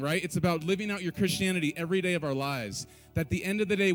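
A man leading a church service, urging the congregation with call-and-response lines and joking asides, then praying. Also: on the high side. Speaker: worship leader. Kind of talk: church stage exhortation and prayer. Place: church sanctuary.

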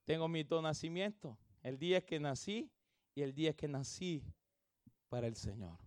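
A man, preaching an evangelistic sermon and leading the congregation in reading, with phrasing that swells into shouts. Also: high voice, dry recording, studio quality. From El Salvador. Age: 30s. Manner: calls everyone "hermano", drawn-out emphatic phrasing. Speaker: pastor.

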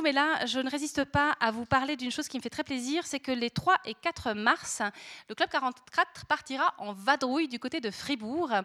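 A woman's voice: high voice, sharp tone. A woman hosting an event, making semi-formal announcements and sometimes searching for her words. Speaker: event host introducing a debate.

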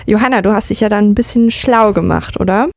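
A woman's voice: medium pitch, brisk, gravelly, a little mellow, no echo, studio quality. A young woman whose first language is Russian, co-hosting a casual podcast chat and talking with many hesitations. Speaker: podcast co-host.

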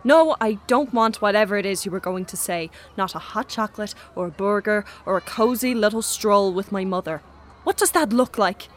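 A woman's voice: mid-range voice, moderate pace, close to an everyday voice. A woman playing a sullen, scornful teenage schoolboy in an audio drama, curt and sarcastic. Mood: scornful irritation.

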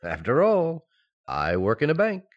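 Man playing an exasperated husband, wildly swooping pitch. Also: wildly swooping pitch, measured pace, dull audio. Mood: self-important. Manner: patient, mock-stern, explanatory delivery. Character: exasperated, sensible banker husband in a domestic sitcom. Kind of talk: old-time radio sitcom acting.

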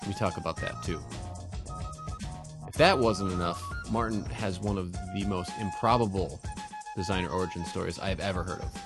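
Lively man host, faint music. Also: sits low, normal speed. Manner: rambling, stacked questions. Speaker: podcast host. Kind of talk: casual interview.

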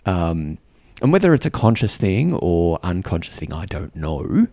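Gravelly, slow-talking Australian man. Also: deep voice, sing-song, warm tone, no room sound. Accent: Australian, mild. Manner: rambling, many ums and uhs, reflective. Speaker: podcast host and diplomatic mentor. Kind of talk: casual solo podcast talk.

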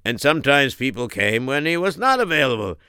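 A man's voice: medium pitch, sing-song, fairly quick, bright, forceful tone, smooth, dry recording, clean studio sound. A man telling a comic story as narrator, in a folksy, exclamatory way.

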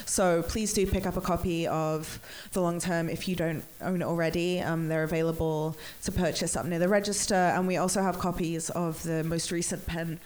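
A woman's voice: lowish voice, level tone, moderate pace, few pauses, darkish tone. An English woman hosting a bookstore event, nasal from a cold, chatty with many ums and restarts. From England. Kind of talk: event introduction.

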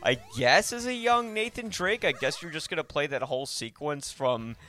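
A man's voice: fairly high pitch, animated, moderate pace, bright, forceful tone. A man hosting a podcast, discussing films casually and conversationally as he thinks aloud. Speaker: podcast host.

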